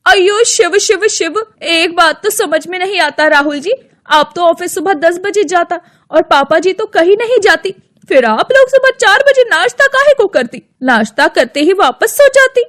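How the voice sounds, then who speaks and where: Woman voicing a sleepy young bride in a cartoon, quaint broken Hindi, high pitched voice. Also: animated, moderate pace, a bit bright. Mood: puzzled. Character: young South Indian daughter-in-law, sleepy, earnest.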